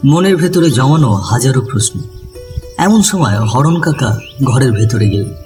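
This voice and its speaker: A man narrates a ghost story in a scripted, dramatic style. His medium-pitched voice sits a little far from the mic, with prominent music behind it. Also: animated, normal speed.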